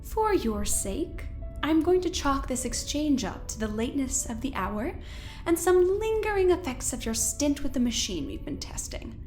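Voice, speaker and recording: A woman playing a cool, controlling scientist in an audio drama, speaking in a measured, formal, pointed way. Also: high voice, very animated, darkish tone. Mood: cold reproach. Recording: music under the voice.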